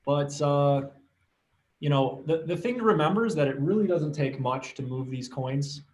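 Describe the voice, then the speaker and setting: A man's voice: on the high side, normal speed, a little mellow. A man giving casual live chart commentary as an analyst, informal and trailing off at phrase ends. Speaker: market analyst.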